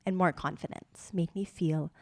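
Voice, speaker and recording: Soft-spoken young woman, dry close sound. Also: on the low side, steady tone, no room sound. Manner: supportive, reads out questions, quick affirmations. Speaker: podcast co-host. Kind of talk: casual couple's podcast chat.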